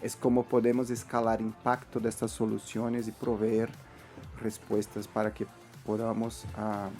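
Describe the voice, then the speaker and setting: Slow, gravelly man, halting speech. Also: on the low side, completely flat delivery, warm tone, soft background music. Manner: halting, repeats words. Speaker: guest, network secretary. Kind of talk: radio interview.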